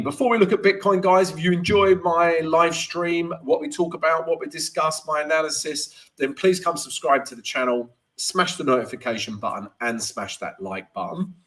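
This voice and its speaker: A man's voice: medium pitch, a bit bright. A man hosting a live trading stream, congested from a cold and chatting to viewers while going over charts. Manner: chatty, addresses viewers as guys, frequent "right?". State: congested, stuffy nose. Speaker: trading livestream host.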